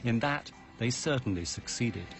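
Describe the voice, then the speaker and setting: A man giving scripted documentary narration in a measured, grave way. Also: mid-range voice, lively intonation, gravelly. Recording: narration over loud music.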